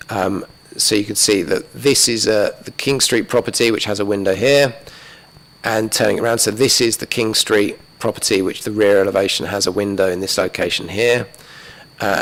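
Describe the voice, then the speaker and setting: A man presenting a planning application to a council committee, talking methodically through slides while losing his voice and clearing his throat. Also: fairly low pitch, fairly bright tone, low-quality recording. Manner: methodical, many fillers and restarts, talks through slides. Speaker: planning officer. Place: council chamber.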